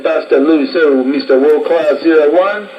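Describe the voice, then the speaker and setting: A man's voice: a little high, moderate pace, muffled recording. Australian man's playful CB radio patter. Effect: CB radio transmission. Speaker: amateur CB radio operator. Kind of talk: casual CB radio banter. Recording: CB radio with music behind.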